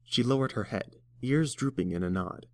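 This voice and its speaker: A man's voice: mid-range voice, moderate pace. A man narrating a story from a script as a storybook narrator.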